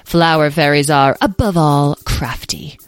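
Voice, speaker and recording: A woman's voice: medium pitch, big pitch swings, measured pace, pitched above the performer's own voice. A woman voicing a bold, proud, scornful tiny fairy warrior in a children's story, curt and darkly warning. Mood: disgusted, warning.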